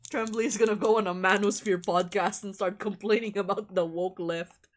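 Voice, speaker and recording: A woman streamer with a mid-pitched voice plays through a visual novel over background music. She reads the text aloud, then breaks into casual, irreverent asides with a giggly, slangy manner. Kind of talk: livestream let's play, reading game text aloud with casual reactions.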